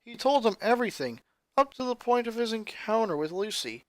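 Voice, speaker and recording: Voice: medium pitch, very animated, brisk, dry recording, poor audio. A narrator reads a scripted story in a mid-range voice. The sound is very dry, with next to no room around it. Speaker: story reader. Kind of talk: scripted narration of fan fiction.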